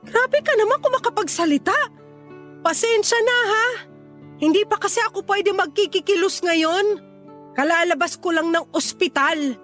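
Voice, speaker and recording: A woman's voice: very high-pitched, moderate pace, fairly bright tone. A woman playing a hurt, indignant middle-aged wife and mother in a radio drama, pleading and reproachful, with a Filipino accent. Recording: faint music bed behind voice.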